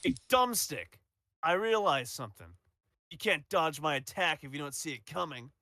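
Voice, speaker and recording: A man's voice: fairly high pitch, lively intonation, normal speed, sharp tone, dry recording. A man playing a cocky, reckless superpowered fighter in an audio drama, brash and joking. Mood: taunting. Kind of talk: scripted audio drama acting.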